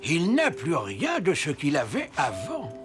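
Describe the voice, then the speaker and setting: An extremely animated old man's voice with music behind. The elder is wise and patient, explaining things in a French children's cartoon. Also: a little high, a little slow.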